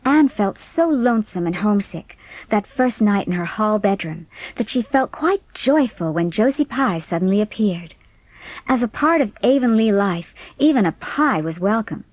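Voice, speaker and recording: A woman's voice: medium pitch, a little mellow, no room sound. A woman reading a classic novel as an audiobook narrator, in scripted storytelling style.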